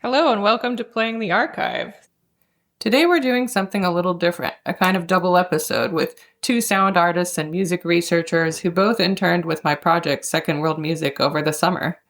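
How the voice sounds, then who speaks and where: Creaky-voiced woman hosting a podcast. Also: on the low side, brisk. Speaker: podcast host and interviewer. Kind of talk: scripted introduction and interview questions. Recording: roomy, echoing sound.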